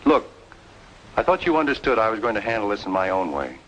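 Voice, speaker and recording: A brusque, skeptical noir hero in an old radio play. He speaks rapidly in a fairly low voice, with slight noise on the recording. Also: big pitch swings, fairly bright tone, rough recording. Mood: irritated. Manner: curt, skeptical. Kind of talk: old-time radio noir drama acting.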